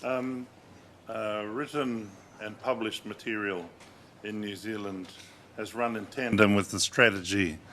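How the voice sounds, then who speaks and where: Low-voiced man explaining steadily. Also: a little slow, a little hiss or hum. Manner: steady and explanatory, with occasional uh fillers. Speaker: panelist. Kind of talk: seminar answer.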